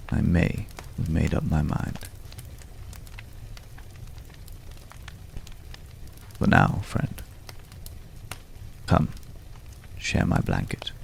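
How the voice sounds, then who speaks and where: ASMR fantasy roleplay: man as courtly, world-weary old man, heavy vocal fry, unhurried and intimate, long pauses, faint background noise. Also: sits low, darkish tone, heavily acted. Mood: musing, gentle. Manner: measured, archaic, formal phrasing with long pauses. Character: world-weary old male companion of a vampire hunter, courtly, wistful.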